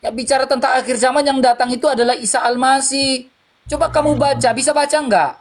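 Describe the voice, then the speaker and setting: A man's voice: extremely high pitch, fairly even intonation, a little fast. A man hosting a heated livestream debate, mocking and laughing at his opponent as he talks over him. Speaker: debate host. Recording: noisy stream with loud crowd.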